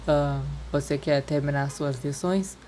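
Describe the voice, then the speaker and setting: A woman's voice: low-pitched, steady tone, measured pace, mellow, a little hiss or hum, pitched below the performer's own voice. A woman with a Brazilian accent voicing a polite, bookish, guarded older schoolboy in a story, casual and slightly hesitant. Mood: friendly, helpful.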